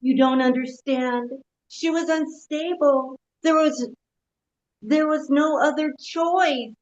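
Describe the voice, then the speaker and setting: A woman acts a female judge in a script read, frightened and defending herself. Her voice sits high and she takes the lines slowly. The sound is a little dull and lo-fi. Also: slight background noise. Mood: pleading, defensive.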